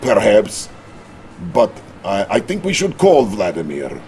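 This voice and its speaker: An older, theatrical Soviet premier in a game cutscene. He has a Russian accent and speaks slowly and hesitantly, swinging widely in pitch, over faint noise. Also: wildly swooping pitch, darkish tone, exaggerated voice. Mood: hesitant, grave. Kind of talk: video game cutscene acting.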